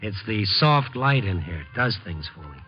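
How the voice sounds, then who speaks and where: A man's voice: lowish voice, very animated, normal speed. Wry, hard-boiled radio newsman. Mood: deadpan. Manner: dry wisecracking delivery. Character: wry, sardonic, hard-boiled male newspaper reporter. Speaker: lead actor and narrator. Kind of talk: 1950s radio drama acting. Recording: muffled old 1950s radio recording.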